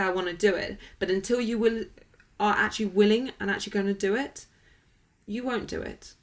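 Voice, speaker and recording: A woman being interviewed as a wellness coach, talking conversationally in an emphatic, motivational way with everyday examples. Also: medium pitch.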